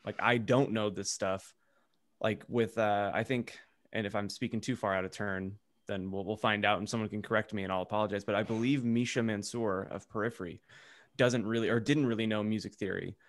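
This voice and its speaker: A man co-hosting a podcast, talking casually in an informal, halting and self-deprecating way. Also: fairly low pitch, steady tone. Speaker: podcast co-host. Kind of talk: casual panel discussion.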